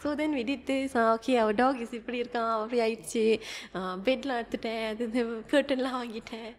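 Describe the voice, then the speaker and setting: A young woman, chatting as a podcast guest, fast and animated, mixing Tamil and with lots of fillers. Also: a little high. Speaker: tour guide guest. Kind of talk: casual podcast conversation. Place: small indoor room at a table. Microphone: desk podcast mic.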